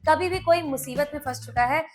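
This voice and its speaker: A woman with a very high voice and an Indian accent presents a casual product demonstration. She explains each point directly to the viewer. Music plays clearly behind her. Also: fairly quick. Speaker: product review host.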